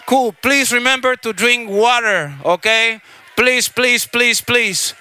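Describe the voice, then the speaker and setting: High-pitched, bright-voiced male reggae festival MC. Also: takes it slowly, a little hiss or hum. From Chile. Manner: exclamatory, repeats phrases, reggae slang. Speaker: festival stage MC. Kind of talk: hyping up a reggae festival crowd over a PA. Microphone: stage microphone.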